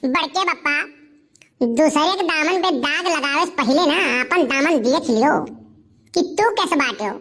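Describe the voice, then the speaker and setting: Woman voicing a high, cheeky cartoon village boy. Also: unhurried, a bit bright. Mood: gleeful taunting. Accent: Awadhi. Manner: taunting, cheeky. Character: mischievous, vengeful village boy, gleefully tattling and scheming. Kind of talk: comic cartoon dialogue.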